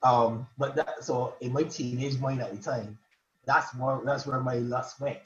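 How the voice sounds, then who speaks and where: A man's voice: mid-range voice, fairly even intonation. A man, a guest poet, chatting casually on a podcast and often stumbling over and repeating his words. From Barbados. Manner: halting, stammers and repeats words. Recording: roomy, dull lo-fi sound.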